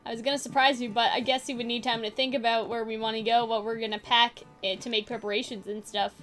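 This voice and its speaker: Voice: mid-range voice, flat delivery, quick pace, a bit bright, faint music behind, pitched below the performer's own voice, lightly acted. A woman voicing an upbeat, adventurous sister who loves traveling, in a visual novel game. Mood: cheerful, coaxing.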